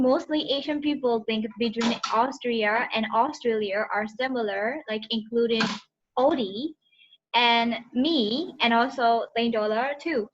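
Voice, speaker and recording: Higher-voiced woman hosting a video call. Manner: polite, hesitant, lots of fillers. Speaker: host. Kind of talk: hosting an online talk session. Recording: dull lo-fi video call with faint noise.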